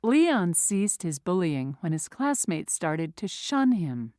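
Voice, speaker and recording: Slow, deliberate woman reading vocabulary. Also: fairly low pitch, big pitch swings, a little mellow, dry recording, clean studio sound. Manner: reads each word twice, then its meaning and an example sentence. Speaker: vocabulary reader.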